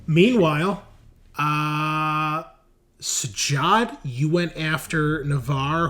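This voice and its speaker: A man's voice: mid-range voice, a bit bright. A man narrating a tabletop horror game as game master in a casual, conversational way. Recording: music underneath. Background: music.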